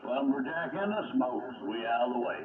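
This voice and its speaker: A man's voice: medium pitch, lively intonation, moderate pace, a loud crowd. Southern American man through noisy, narrow-band radio. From United States. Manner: folksy, clipped phrases. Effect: radio transmission. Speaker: amateur CB radio operator. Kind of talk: casual radio chat. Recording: narrow-band AM radio, loud garbled interference. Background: other radio voices and static.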